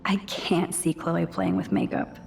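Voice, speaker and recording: A young American woman playing an introspective, gentle teenage girl student in a video game, musing softly with wry asides. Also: low-pitched, very animated, a little slow, gravelly, heavily acted. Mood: reflective. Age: young adult. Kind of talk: video game voice acting, inner monologue. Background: background music.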